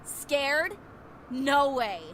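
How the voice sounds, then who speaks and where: Fierce high-pitched proud young woman. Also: expressive, drawn-out words, a bit bright, a little hiss or hum, heavily acted. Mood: defiant, cocky. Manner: defiant, scornful, confident. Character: fierce, proud young female mech pilot, quick to anger. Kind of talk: anime-style visual novel voice acting. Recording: music behind game dialogue.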